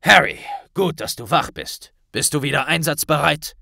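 Man as battle-worn male minister of magic, grave, authoritative, lively intonation, storybook. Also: fairly high pitch, gravelly, no echo, studio quality, voice pushed up, exaggerated voice. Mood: grave, firm. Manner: serious, authoritative, deliberate. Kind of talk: audiobook character dialogue.

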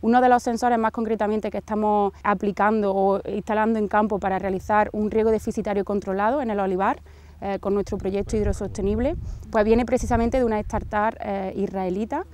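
Young woman with a mellow voice. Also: medium pitch, clean tone. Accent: Spain. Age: young adult. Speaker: agricultural researcher. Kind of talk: on-location interview explanation.

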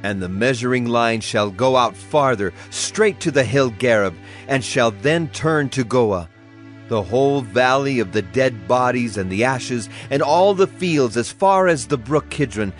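An actor playing a solemn Hebrew prophet, proclaiming oracles earnestly in a dramatized scripture reading. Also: male voice, mid-range voice, animated, measured pace, lightly acted. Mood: solemn proclamation. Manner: declamatory, earnest. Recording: music behind the voice.

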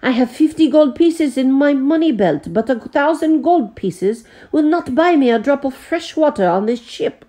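A woman's voice: animated, normal speed, few pauses, mellow. A woman voicing a fretful immigrant man, wailing and exclamatory. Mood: exasperated. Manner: wailing, exclamatory, high-strung. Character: fretful French immigrant man with vine cuttings.

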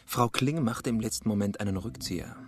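Gruff chief inspector: low voice, blunt, irritable, radio crime drama with music behind. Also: male voice, a little fast. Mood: probing, accusing. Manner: blunt, terse.

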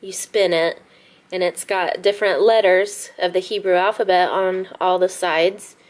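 A woman's voice: on the low side, steady tone, unhurried. A woman vlogging at home, chatting casually while she holds up greeting cards and reads them aloud into a handheld camera. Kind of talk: casual vlog narration.

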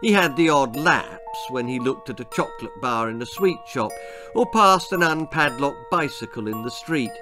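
Older male storyteller, theatrical and deliberate, over music. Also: medium pitch, animated. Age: older, about 60s or 70s. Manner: theatrical, deliberate delivery with drawn-out key words. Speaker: author reading his own story aloud. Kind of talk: dramatic children's horror-comedy storytelling. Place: book-lined room indoors. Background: background music.

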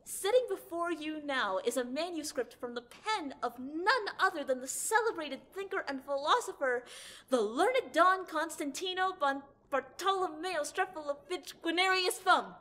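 A woman's voice: high-pitched, lively intonation, moderate pace, pushed and bright. A woman voicing a pompous, grandly self-assured boy scholar in an audio drama, theatrical and formal. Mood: lecturing.

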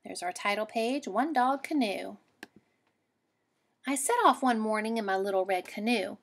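A woman, a teacher giving an expressive, explanatory read-aloud lesson for children. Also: mid-range voice, moderate pace, vocal fry.

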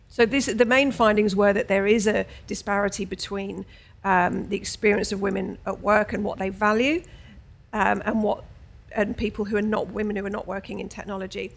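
Woman on a panel, gravelly voice, calm and explaining. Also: mid-range voice. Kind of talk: panel discussion. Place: bright room with large windows.

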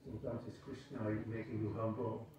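A man's voice: fairly low pitch, level tone, brisk. An older man speaks in a loose, self-mocking way, organizing the cast before a show. He sits in a big wood-panelled hall, heard from well off the mic with heavy echo, and a crowd murmurs behind him. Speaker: drama director. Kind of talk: stage directions and talk before a play. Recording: echoey hall, far from the camera.

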